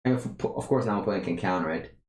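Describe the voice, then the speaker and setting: A young Italian-accented man with a deep, low voice talks through his card game live, stumbling and restarting as he thinks aloud. His voice carries a roomy echo. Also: fast talker, darkish tone, slight background noise. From Italy. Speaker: streamer playing a card game. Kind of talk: casual gameplay commentary.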